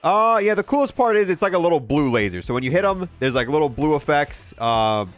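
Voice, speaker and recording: Lively higher-voiced man reviewing a video game. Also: brisk. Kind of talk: casual gameplay commentary.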